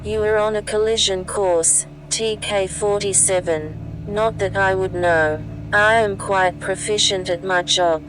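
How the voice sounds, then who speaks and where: A woman's voice: medium pitch, fairly even intonation, unhurried, hardly any pauses, natural voice. A woman playing a haughty, scolding train computer in a science fiction audio story, speaking precisely. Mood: smug. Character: snide female train AI, prim and accusing machine. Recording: noisy background behind voice.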